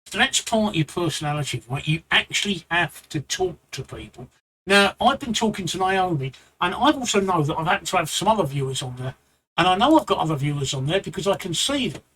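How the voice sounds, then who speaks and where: A man's voice: high voice, animated, a little fast, a bit bright, a little hiss or hum, low-quality recording. A man with a London accent giving blunt streaming advice live, repeating himself and rambling into asides. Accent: London English. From England.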